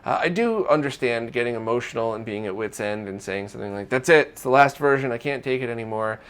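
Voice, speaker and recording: Male news-roundup host, mid-pitched voice, conversational and opinionated, casual commentary. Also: quick pace, fairly bright tone, smooth.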